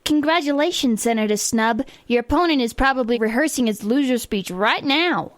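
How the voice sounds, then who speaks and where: Woman acting flattering campaign staffer, gung-ho, moderately high pitch, radio comedy, dry studio sound. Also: moderate pace, no echo, close to an everyday voice.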